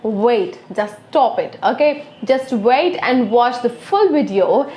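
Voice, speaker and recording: Indian-accented woman teacher, Hindi native, high-ish voice, coaxing and earnest, echoey room. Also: animated, slow, mellow. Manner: earnest, coaxing, frequent "okay?" checks. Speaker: English teacher. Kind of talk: motivational video lesson.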